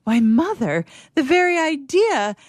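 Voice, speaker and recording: A woman's voice: high voice, sing-song, measured pace, a little mellow, no room sound, studio quality. A young actress playing a petulant, self-absorbed young woman, complaining theatrically to her mother in an old-fashioned radio theatre monologue. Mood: huffy, vain.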